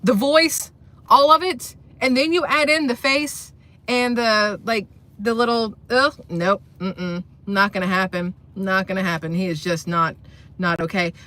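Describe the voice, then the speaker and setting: A woman's voice: mid-range voice, steady tone, measured pace, fairly bright tone. A woman hosting a rambling political commentary vlog, blunt, profane and sarcastic, with a voice she says sounds boyish. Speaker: commentary channel host.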